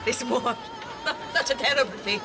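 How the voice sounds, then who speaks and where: An actress playing an anxious, self-pitying, attention-seeking Romanian woman with a breathy, theatrical manner in a radio drama. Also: fairly high pitch, unhurried. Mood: fearful, self-pitying. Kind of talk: full-cast radio drama acting. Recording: loud music and crowd behind voice.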